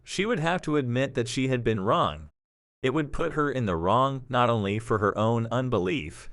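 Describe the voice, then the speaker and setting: A man narrating a scripted story for an audio drama in a measured, expressive storytelling manner. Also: medium pitch, no room sound, studio quality.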